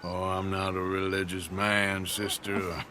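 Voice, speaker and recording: An actor playing a weary, gruff, laconic outlaw with an American accent in a video game cutscene. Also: male voice, low-pitched, monotone, a little slow, pushed and bright, faint music behind. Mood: subdued, weary.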